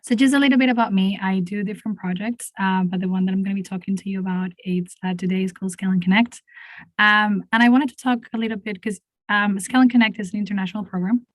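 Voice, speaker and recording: Venezuelan woman w a cold talking on a video panel, pretty flat pitch, keen but stumbly, dry sound. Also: no echo, low-quality recording. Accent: Venezuelan Spanish. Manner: enthusiastic, halting with restarts. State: has a cold. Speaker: panel speaker, mentoring program lead. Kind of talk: online panel talk.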